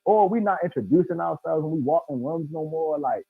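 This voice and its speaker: A man's voice: fairly high pitch, expressive, fairly quick, creaky, breathy edge, no echo. A man in his thirties with an American accent, talking casually and emphatically in slangy speech over a video call with thin sound. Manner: emphatic, slangy, often says "bro". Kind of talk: casual, animated discussion. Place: indoor room, video call. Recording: thin phone-like online call audio.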